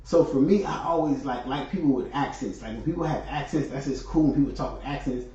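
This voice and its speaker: A rambling, talkative man hosts a solo stream, mid-pitched and easygoing. His voice sits well back from the mic. A heavy echo and a dull, muffled tone fill the room around him. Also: fast, mellow. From California. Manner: rambling, chatty, frequent fillers. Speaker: host. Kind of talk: casual solo monologue. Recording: echoey, distant, dull sound.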